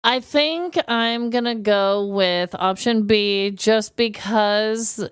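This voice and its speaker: An American woman co-hosting a podcast, chatting casually and comedically in an expressive, exclamatory, casually profane way. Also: mid-range voice, slow, clear, resonant tone, no room sound, clean studio sound. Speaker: podcast co-host.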